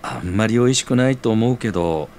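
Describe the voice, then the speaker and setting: An actor playing a modest, earnest young working man in an audio drama, speaking in a gentle, boyish manner. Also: fairly low pitch, a little fast, slight background noise, close to an everyday voice. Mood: shy, glad. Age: young adult.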